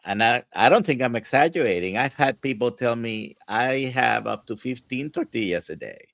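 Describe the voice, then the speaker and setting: A man from Puerto Rico hosting an interview, asking thoughtful, halting questions. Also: mid-range voice, animated, gravelly, a bit bright, dry recording. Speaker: host physician. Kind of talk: conversational podcast interview.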